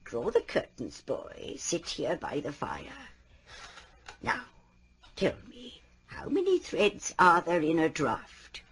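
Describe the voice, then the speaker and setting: An actress playing a tender but stern old woman mentor in an audio drama, measured and hushed, with dramatic pauses. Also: low-pitched, wildly swooping pitch, a little hiss or hum, heavily acted. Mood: stern teaching. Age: older.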